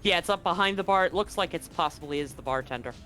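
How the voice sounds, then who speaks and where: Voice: medium pitch, fast talker, bright, forceful tone, poor audio. A game master narrating a tabletop roleplaying game in a conversational way, describing scenes and asking players what they do. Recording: music playing underneath. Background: music.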